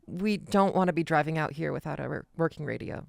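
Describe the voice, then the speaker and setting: A woman's voice: deep voice, brisk, gravelly, no echo, clean studio sound. A woman playing a timid, polite young team member in a student audio drama, speaking hesitantly and meekly. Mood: tentative. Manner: hesitant, meek.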